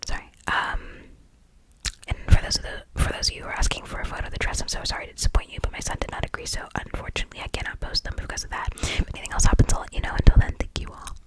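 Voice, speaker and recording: A video creator speaking in a breathy whisper, casually reacting to stories in a gushing, slangy way with emphatic repetition and rambling asides. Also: very fast, rough recording. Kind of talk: whispered ASMR storytelling and reaction, casual chat.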